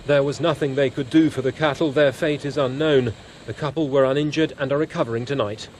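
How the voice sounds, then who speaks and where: A man's voice: mid-range voice, steady tone, a little fast, darkish tone, a little hiss or hum. A man with a British accent delivering scripted news narration as a television reporter.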